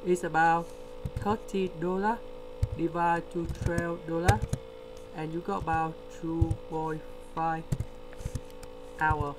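A man with a delivery, explaining a word problem step by step in a screen-recorded lesson. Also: a little high. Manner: slow, step-by-step explaining with pauses. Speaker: tutor. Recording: steady electrical hum behind.

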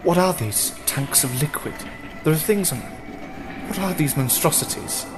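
An actor playing a questioning, defiant captive worker in a dystopian audio drama, wary and inquisitive. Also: male voice, on the high side, expressive, moderate pace, music in the background, lightly acted. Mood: bewildered.

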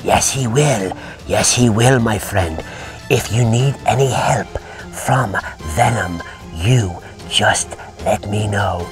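A man's voice: on the low side, slow pace, over music. A man voicing a sly, scheming alien symbiote, speaking in oily half-whispers. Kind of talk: toy play voice acting.

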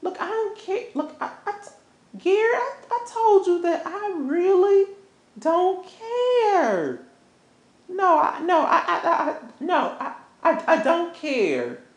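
A woman performs an angry, wounded woman in a relationship melodrama. Her voice is high and slow, stumbling and repeating as she accuses her cheating lover. The sound is roomy and echoey. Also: sing-song, full character voice. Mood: hurt, defiant. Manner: stammering, repetitive, confrontational.

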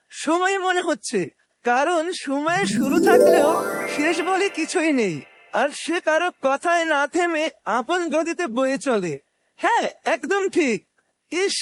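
A man plays a young fairytale cartoon hero with an extremely high voice. He sounds earnest and thoughtful, explaining things deliberately. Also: fairly bright tone, heavily acted. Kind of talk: cartoon voice acting.

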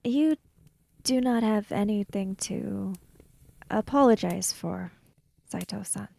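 Woman game player, gravelly edge, slow delivery, improvised role-play storytelling. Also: medium pitch, mellow, no room sound.

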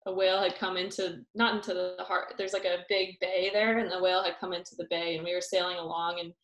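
A young adult woman, an Olympic sailor, reading out questions and answering them in a friendly, hesitant way, with lots of pauses over a video call. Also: lowish voice, little pitch movement, a bit bright. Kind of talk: casual online Q&A. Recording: echoey room on a video call.

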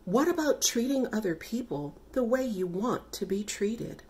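A woman reads as a curious little girl in a children's storybook, questioning earnestly. The voice lifts and swings with each phrase, at a measured pace. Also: a little high, animated, a little mellow, breathy edge, pitched above the performer's own voice, full character voice. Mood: earnest, troubled.

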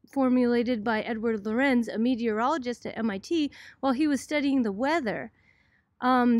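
A middle-aged woman with a high voice delivers an informal educational talk. She explains things conversationally, as a college lecturer would in a homemade video. The sound is dry, with little room echo. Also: a little mellow. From Boston, United States.

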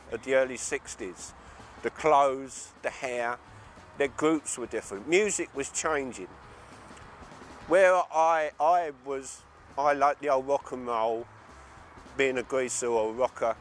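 A man's voice: mid-range voice, a little hiss or hum. An older man, a former rocker, casually reminiscing in a rambling way, repeating himself and saying "you know" a lot. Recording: music playing underneath.

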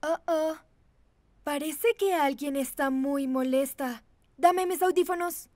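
A tiny magical owl from a kids' cartoon, with an extremely high, cute voice. It talks slowly and sympathetically, like a childlike friend. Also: female voice, a little mellow, no echo, studio quality. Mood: curious, frank. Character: small magical toy owl, childlike caring companion. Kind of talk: animated children's series dub.

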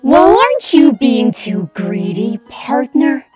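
A woman's voice: fairly high pitch, animated, slow, darkish tone, lo-fi sound, soft background music. A woman voicing a blunt, exasperated and menacing child in a comic fan dub. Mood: blunt, annoyed. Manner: blunt, incredulous.

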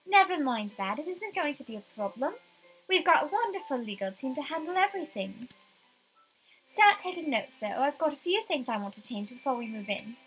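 A woman's voice: sits high, very animated, normal speed, a bit bright, faint music behind, poor audio. A woman voicing an enthusiastic, bossy rich wife in a visual novel, gushing and emphatic.

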